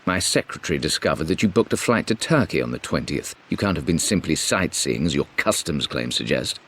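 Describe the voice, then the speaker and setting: An actor playing a stern middle-aged British uncle, reading out a formal letter in a cold, imperious manner. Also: male voice, rumbling low, animated, fairly quick, a bit bright, breathy edge, heavily acted. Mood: cold, suspicious. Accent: British English. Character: stern, threatening upper-class uncle. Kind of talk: letter read in character.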